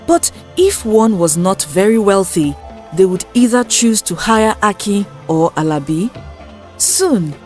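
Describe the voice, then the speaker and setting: A woman's voice: medium pitch, darkish tone. Woman narrating a folktale over music. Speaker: storyteller.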